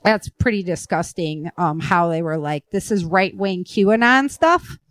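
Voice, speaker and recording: A woman's voice: lowish voice, expressive, a little slow, no room sound, studio quality. An American woman hosting a casual talk show, speaking in an earnest, opinionated and emphatic way. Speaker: podcast host and author.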